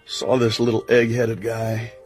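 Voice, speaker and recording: A man's voice: mid-range voice, monotone, a little slow, darkish tone, natural voice. Casting: brash American agent-pilot played by a male actor, slangy wisecracker, medium register, radio play with faint music. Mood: confiding, upbeat. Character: brash, breezy American agent and seaplane pilot, Bond's buddy. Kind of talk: radio drama acting.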